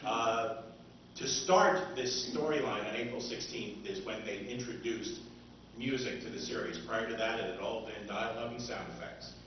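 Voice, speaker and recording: A man giving an informal seminar talk as a speaker, halting and pausing often. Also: lowish voice, distant mic. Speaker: seminar speaker, old-time radio author. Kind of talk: informal public lecture. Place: indoor convention seminar room. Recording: muffled, noisy, echoey room from afar.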